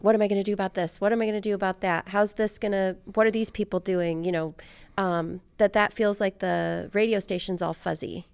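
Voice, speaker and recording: A middle-aged American woman with a fry-edged but clean, smooth voice, recorded dry and close. She talks casually and reflectively as a podcast host, wandering through her thoughts and putting herself down a little. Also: mid-range voice, no room sound. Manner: rambling, self-deprecating.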